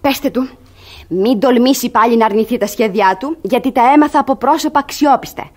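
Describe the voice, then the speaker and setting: An actress playing a crafty young ward in a radio theatre comedy, coaxing and feigning wounded virtue with theatrical emphasis. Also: female voice, fairly high pitch, close to an everyday voice. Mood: urgent, coy. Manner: theatrical, emphatic, artfully expressive.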